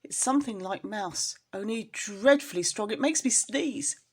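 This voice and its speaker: Lady doing a little boy kitten from a storybook, naive n worried, plaintive, pitch goes up high. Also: lively intonation, measured pace, slightly rough. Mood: puzzled, uneasy.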